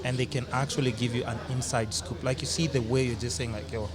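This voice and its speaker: A man's voice: medium pitch, flat delivery, quick pace. A man hosting a podcast in a casual chat, often cutting in with questions. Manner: easygoing, interjects often. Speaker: podcast host. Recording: crowd chatter behind. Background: crowd chatter.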